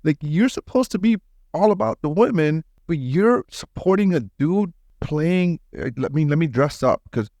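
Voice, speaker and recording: A man's voice: on the high side, lively intonation, moderate pace, darkish tone, dry recording, clean studio sound. A man hosting a podcast, chatting casually and bluntly with informal, opinionated phrasing. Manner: blunt, informal, mild swearing. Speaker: podcast host. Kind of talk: casual, opinionated interview chat.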